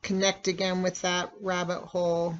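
A meditation guide speaking in a measured, instructional way with long pauses between phrases. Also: mid-range voice, monotone. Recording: dull, lo-fi recording.

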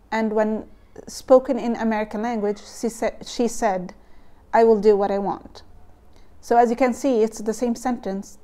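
Young egyptian woman, arabic accent, chatting to camera on a lapel mic at home, slightly high mellow voice, lots of ums. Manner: nervous, thoughtful, with frequent ums and likes. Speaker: vlogger. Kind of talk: casual explainer vlog. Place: home room with bookshelves, indoors. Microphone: lapel mic, camera close.

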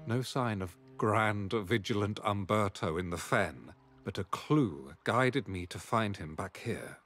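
An actor playing a grave, dutiful knight in a video game, speaking formally and solemnly. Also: male voice, fairly low pitch, lively intonation, unhurried, fairly bright tone. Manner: formal, solemn, archaic phrasing. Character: grave, dutiful male knight ally giving a quest. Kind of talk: scripted video game quest dialogue. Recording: game music behind the voice.

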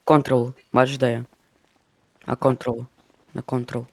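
A man's voice: mid-range voice, little pitch movement, a little slow, creaky. A man leading a squad over game voice chat, repeating orders and swearing. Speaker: squad leader in an online game. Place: online game voice chat, gunfire behind.

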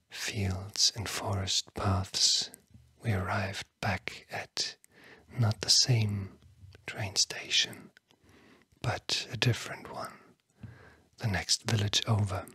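A tired-sounding ASMR host whispering a bedtime talk in a hushed, soothing, breathy way with long pauses. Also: low-pitched, flat delivery, no room sound.

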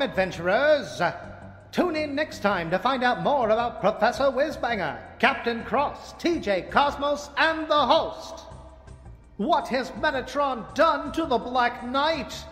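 A very high-pitched, theatrical serial announcer, played by a man, speaking slowly in long reverb. He narrates like an old adventure show host, with some music underneath. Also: a bit bright, exaggerated voice.

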